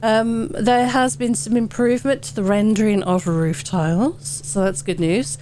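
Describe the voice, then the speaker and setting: A woman hosting a gaming channel, hoarse and wheezy from a head cold, chatting casually through update notes with lots of ums. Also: medium pitch, steady tone, a little slow, a little mellow, slight background noise. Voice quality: wheezy, sore-throated. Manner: chatty, rambling, with many ums. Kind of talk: casual gameplay commentary.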